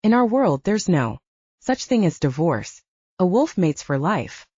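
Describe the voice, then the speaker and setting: A woman's voice: on the low side, big pitch swings, a little slow, mellow, slightly rough, dry recording, dull audio, full character voice. A woman reading as an earnest werewolf man. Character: steady devoted male werewolf alpha.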